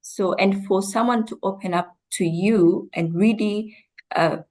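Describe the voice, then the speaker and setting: A woman from Rwanda, a guest coach, answering interview questions in a measured, reflective way. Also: mid-range voice, darkish tone.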